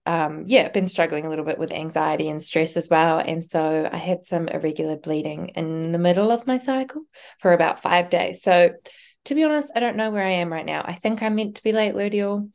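Low-voiced Australian woman rambling casually. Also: brisk, gravelly, a little mellow. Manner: rambling, lots of "um" and "like". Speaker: podcast co-host. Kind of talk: casual podcast chat.

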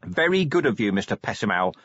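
A man plays a weary police commander in an audiobook. He is blunt, impatient and drily sardonic, with a husky edge and lively swings in pitch. The recording is close, dry and slightly dull. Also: fast talker, dry recording. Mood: curt, dry. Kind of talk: audiobook character acting.

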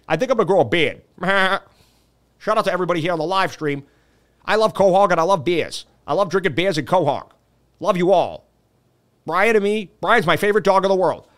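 A man voicing a goofy, dim-witted cartoon dad in a loud, slurry impression. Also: sits high, fairly quick.

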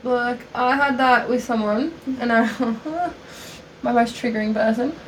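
A woman's voice: on the high side, a little slow, a little hiss or hum, poor audio. A woman co-hosting a podcast in casual chat, blunt and laughing, swearing freely. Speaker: podcast co-host.

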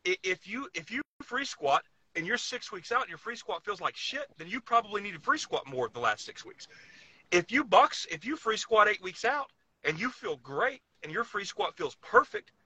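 A man with an intensely bright, forceful voice, sitting slightly high in pitch, co-hosts a casual podcast. He is blunt and insistent as he hands out training advice. He jumps in readily and talks loosely, swearing now and then. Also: sing-song, moderate pace, breathy edge, no room sound, poor audio.

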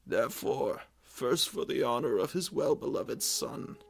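A man voicing an aging, sorrowful king who speaks softly and gravely, pitched higher than his own voice. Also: animated, measured pace.

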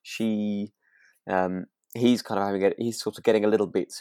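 A man with a low, gravelly voice chats as a podcast co-host. He is gentle and a little tentative, and the recording is dry and close. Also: steady tone, moderate pace, no echo. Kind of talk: relaxed chat about a radio drama.

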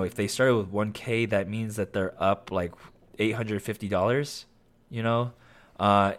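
A young man hosting a solo podcast, talking casually with lots of fillers and self-corrections, his voice slightly raspy. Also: fairly low pitch. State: slightly raspy voice with occasional coughing. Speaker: podcast host.

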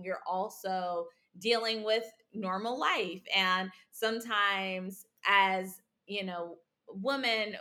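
A woman's voice: medium pitch, sharp tone. A woman hosting a podcast, asking long, encouraging questions of her guests. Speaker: podcast host. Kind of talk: podcast interview.

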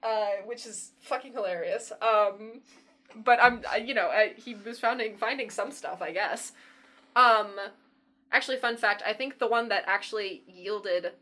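A young woman co-hosting a casual podcast chat, rambling with lots of fillers and quick laughs. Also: mid-range voice, pushed and bright. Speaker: podcast co-host.

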